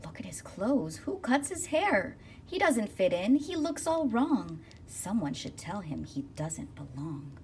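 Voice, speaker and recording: A woman's voice: medium pitch, sing-song, unhurried, few pauses, a little mellow. A woman voicing suspicious, disapproving townsfolk in a storybook, exclaiming with indignation. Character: alarmed gossiping townsfolk, disapproving and suspicious of newcomers. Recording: distant mic with faint noise.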